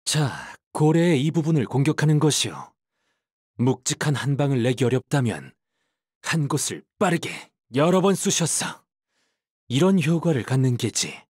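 A courteous first mate on a whaling ship in a video game. His voice is husky and close, with dry sound and a lively range. He phrases things in an archaic, gentlemanly way. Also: mid-range voice, normal speed, no echo. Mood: instructive. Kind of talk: video game character voice lines.

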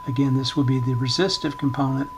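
A man giving an informal hands-on demonstration in short, halting, hesitant phrases, talking at a workbench indoors. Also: medium pitch, fairly even intonation, background noise. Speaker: electronics repair channel host, demonstrator.

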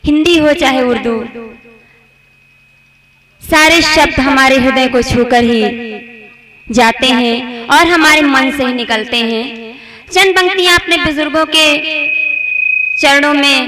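High-voiced woman poet on stage. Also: crowd noise, rough recording. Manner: quick spoken introductions, slow sung verses. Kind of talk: recites and sings Hindi poetry at a mushaira. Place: outdoor poetry gathering stage.

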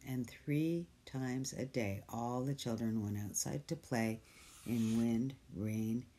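A storyteller reading a picture book aloud, gentle and soft, sometimes dropping to a whisper. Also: mid-range voice, slow pace, a little hiss or hum. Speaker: storybook reader.

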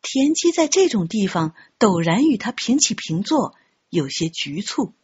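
A woman narrating an audiobook story in an expressive, scripted storytelling style. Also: mid-range voice, unhurried, slightly rough, dull audio. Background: background music.

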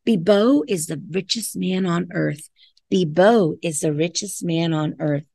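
A woman voicing a greedy, stern man, a dentist in a storybook, in a deliberate, intent manner. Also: on the low side, gravelly, a little mellow, dry recording, voice pushed down. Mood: intent, hushed.

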